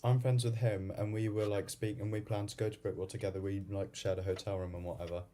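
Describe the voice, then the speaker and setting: A young Welsh man, a university student, telling a story as a guest in relaxed British slang. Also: on the low side, quick pace, a little hiss or hum. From Wales. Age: young adult. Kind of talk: casual chat.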